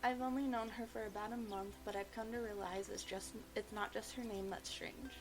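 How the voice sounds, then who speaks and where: A woman reading a visual novel aloud as expressive narration. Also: mid-range voice, normal speed. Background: game music.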